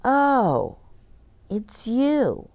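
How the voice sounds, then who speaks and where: A woman's voice: fairly high pitch, huge pitch swings, drawn-out words, darkish tone, pitched above the performer's own voice, full character voice. A woman voicing an eager, hopeful young boy in a children's storybook, exclaiming plaintively. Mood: disappointed. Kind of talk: children's storybook read-aloud.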